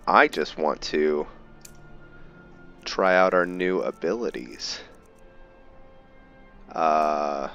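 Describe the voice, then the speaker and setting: A man with a low voice commentates casually over a game. He talks slowly, thinking aloud in broken, halting bits, with music playing behind him. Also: a bit bright. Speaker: gaming video host. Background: game music and sound effects.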